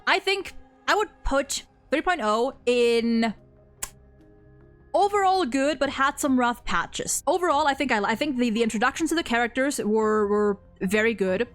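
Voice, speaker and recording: A woman hosting a casual, unscripted opinion chat about games, rambling and thinking aloud in halting phrases. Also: on the high side, soft background music. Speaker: host of a video game ranking video.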